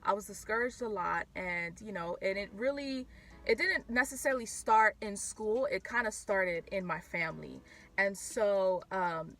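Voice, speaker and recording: A woman's voice: mid-range voice, unhurried, a bit bright, rough recording. A young woman born in Haiti and raised in Florida, telling her personal faith story in a casual, candid vlog, with many fillers and restarts. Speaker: vlogger.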